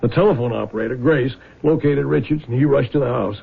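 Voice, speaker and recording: An actor playing an older small-town lawman, gravelly and probing, dogged but concerned, in 1970s radio drama style. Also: mid-range voice, fast. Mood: worried, grim. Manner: expressive, probing questioning. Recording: muffled lo-fi vintage radio broadcast.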